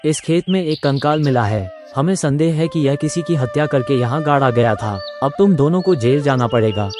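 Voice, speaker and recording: A man's voice: mid-range voice, expressive, normal speed, music in the background, close to an everyday voice. A man playing a stern, threatening policeman in a cartoon story, commanding and accusing.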